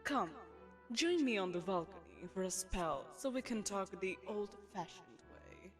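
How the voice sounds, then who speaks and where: A woman's voice: medium pitch, animated, a little slow, music in the background, lightly acted. A woman voicing a poised, commanding telepathic queen who hosts a lavish gala, speaking to the listener in a silken, condescending, persuasive way. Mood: gracious. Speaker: voice actress. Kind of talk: audio roleplay, spoken to the listener.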